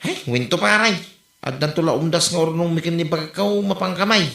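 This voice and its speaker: A woman with an Ilocano Filipino accent acting a gullible, excitable husband in an amateur comedy audio drama. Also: fairly low pitch, fairly quick, creaky. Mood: resigned. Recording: roomy, echoing amateur podcast recording.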